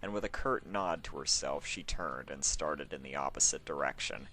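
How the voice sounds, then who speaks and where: A man's voice: extremely low pitch, moderate pace, sharp tone, faint music behind, poor audio. A man with a sore throat reading fan fiction as a scripted story narration.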